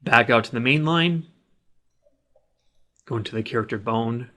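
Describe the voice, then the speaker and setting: A man narrating a software tutorial in a plain, instructional way, explaining each step as he does it. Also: medium pitch, moderate pace. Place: voice-over of a screen recording.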